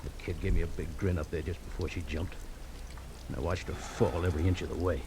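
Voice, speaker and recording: An actor playing a bitter, hot-tempered stunt pilot in a 1940s radio drama, talking rough, combative slang. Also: male voice, low voice, fairly even intonation, fast, mellow. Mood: angry, bitter. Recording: old radio recording, slight noise.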